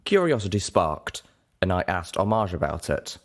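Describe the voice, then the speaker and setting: Low-pitched man narrating a story. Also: very animated, normal speed. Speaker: audiobook narrator. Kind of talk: dramatic scripted story narration.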